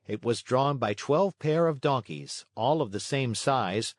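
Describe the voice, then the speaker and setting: A man reading a children's story as scripted narration, expressive in his storytelling. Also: mid-range voice, a little slow, no echo, clean studio sound.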